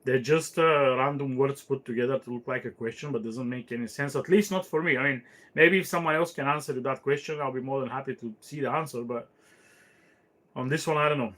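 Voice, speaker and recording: A man's voice: medium pitch, fairly quick, a bit bright. Meandering, thoughtful podcast host, man. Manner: thoughtful, meandering, with frequent fillers and restarts. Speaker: podcast host answering listener questions. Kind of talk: casual chat.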